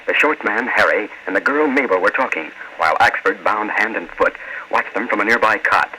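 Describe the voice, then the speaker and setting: Very crisp, sharp male radio announcer. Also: fairly low pitch, brisk, low-quality recording. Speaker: announcer and narrator. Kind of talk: scripted 1940s radio narration. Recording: hissy old radio broadcast.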